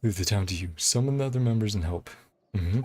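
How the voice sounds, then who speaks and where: Low-voiced man giving casual game commentary. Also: fast, crowd noise. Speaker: game streamer. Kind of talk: casual live gameplay commentary.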